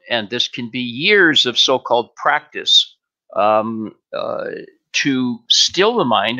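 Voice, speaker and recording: An older man explaining as a spiritual teacher, in measured, halting phrases with emphatic short statements, on a headset. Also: mid-range voice, pushed and bright. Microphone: headset on a video call. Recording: slightly dull online video-call audio.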